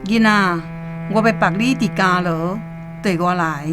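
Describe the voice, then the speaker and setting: Woman acting dutiful stern royal servant, Taiwanese accent, formal, very slow, radio drama, faint music. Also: lowish voice, lightly acted. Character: dutiful, stern female servant guide of a king.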